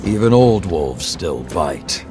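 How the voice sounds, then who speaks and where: An actor playing a grave, stern and weary veteran warrior lord in a video game, with a gravelly voice and a solemn, commanding delivery. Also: male voice, deep voice, big pitch swings, takes it slowly, exaggerated voice. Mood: grim, resolute. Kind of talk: dramatic video game cutscene acting. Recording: music behind the voice.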